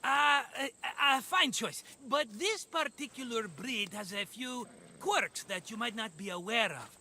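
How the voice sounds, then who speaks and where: A man's voice: very high-pitched, expressive, unhurried, sharp tone, slight background noise, full character voice. An actor playing a chatty, scheming middle-aged man who talks in a fussy, coaxing way. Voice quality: fussy, wheedling, hesitant.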